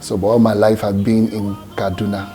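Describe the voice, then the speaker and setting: A man's voice: fairly low pitch, flat delivery, fairly quick, dark tone, faint music behind. A Nigerian man, a gospel singer, answering interview questions in a reflective, hesitant way with many fillers and restarts.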